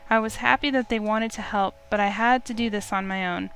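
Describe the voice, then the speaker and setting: A woman's voice: medium pitch, steady tone, fairly quick, a bit bright, low-quality recording. A woman reading a visual novel aloud in character as the heroine, with music behind her. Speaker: game streamer reading the heroine.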